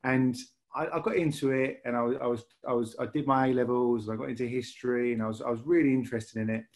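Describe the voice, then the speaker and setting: A man's voice: medium pitch, fairly even intonation, fast talker, darkish tone. Man with a Lancashire accent. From Lancashire, England. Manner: rambling, repeats words and restarts often. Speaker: podcast guest, rail industry manager. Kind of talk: casual podcast interview.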